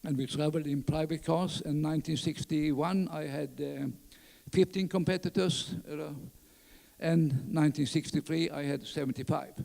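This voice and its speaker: An older man from southern Sweden with a Swedish accent, speaking English as a fourth language, giving a halting talk at a lectern full of fillers and restarts. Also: a little high, fairly even intonation, creaky, a little mellow. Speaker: conference speaker. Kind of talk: reminiscing conference talk. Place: large conference hall. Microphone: lectern mic. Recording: reverberant hall.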